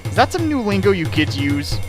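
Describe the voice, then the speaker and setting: A man's voice: sits high, big pitch swings, fairly quick, over music. A man reading a fan fiction aloud for laughs, breaking off into sarcastic, mock-outraged commentary. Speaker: reader riffing a fan fiction. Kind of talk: comedic dramatic reading with commentary.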